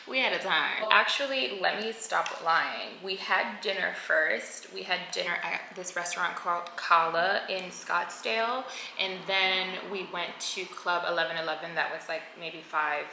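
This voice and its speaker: A woman's voice: on the low side, measured pace, creaky, pushed and bright. A woman in her twenties narrating a casual travel vlog in a chatty, slangy way, talking to viewers like friends. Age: 20s. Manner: chatty and slangy, addressing viewers as girl and honey. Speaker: vlogger. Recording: roomy echo with faint noise.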